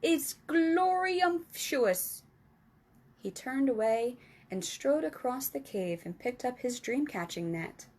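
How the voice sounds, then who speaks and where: A woman hosting a storytime, reading a children's book aloud in a calm, clear storytelling voice. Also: fairly high pitch, unhurried, hardly any pauses. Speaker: storytime reader.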